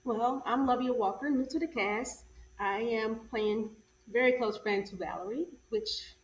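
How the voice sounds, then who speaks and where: A woman's voice: on the high side, measured pace. A woman, a stage actress, introducing herself casually as a guest. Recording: echoey, distant mic, background noise.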